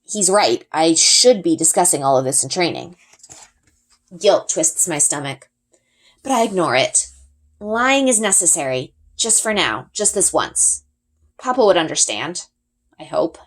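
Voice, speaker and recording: Expressive woman reading a story aloud. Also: fairly low pitch, a little slow. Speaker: reader.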